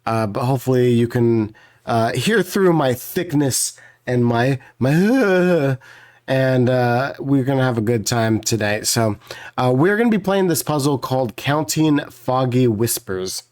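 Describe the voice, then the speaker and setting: A man with a hoarse voice hosts a puzzle video, casually explaining the rules in a rambling, apologetic way. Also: medium pitch, expressive, a little slow, darkish tone. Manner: rambling, many fillers and restarts, apologetic.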